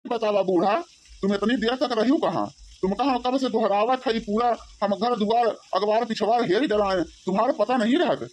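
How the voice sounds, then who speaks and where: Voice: medium pitch, moderate pace, lightly acted, rough recording. An actor voicing a grumbling, suspicious elderly village father-in-law in a comedy cartoon, rambling with a rustic Awadhi accent. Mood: accusing, complaining. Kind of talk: comic cartoon dialogue in village dialect.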